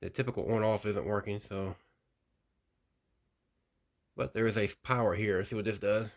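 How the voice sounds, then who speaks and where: Low-pitched man, casual unboxing narration. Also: steady tone, moderate pace. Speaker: radio hobbyist video host.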